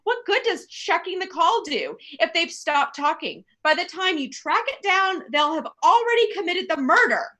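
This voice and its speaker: An actress playing an anxious, demanding invalid wife in a radio play, fretful, indignant and complaining. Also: female voice, very high voice, very animated, normal speed, few pauses, pushed and bright, heavily acted. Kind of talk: stage radio play acting.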